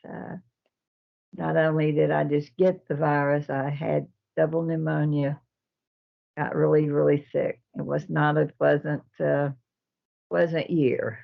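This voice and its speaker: Slow, gravelly older woman. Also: sits low, level tone. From Texas. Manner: slow and halting, with many pauses and fillers. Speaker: nursing home resident and resident council president. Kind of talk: casual interview answers. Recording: dull lo-fi recording.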